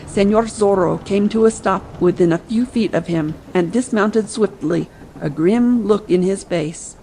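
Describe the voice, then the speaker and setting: A woman's voice: medium pitch, unhurried, mellow, slight background noise, low-quality recording. A woman narrating a scripted adventure audiobook.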